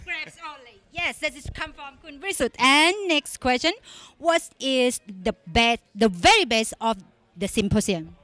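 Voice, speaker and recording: A woman's voice: sits high, unhurried, a bit bright, dry recording. A woman interviewing a guest at a conference in chatty, informal English.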